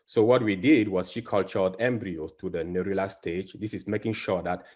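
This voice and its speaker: A man from Cameroon, a biology professor, giving an academic presentation over a video call, halting with many fillers and restarts. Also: low voice, steady tone, a little mellow.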